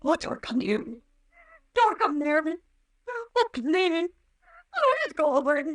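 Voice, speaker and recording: A woman's voice: sits high, sing-song, measured pace. An actress playing a terrified, wounded young woman in a melodramatic old radio horror serial, wailing and pleading. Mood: hysterical terror. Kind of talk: 1930s-40s radio horror acting. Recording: echoey old radio recording, distant mic.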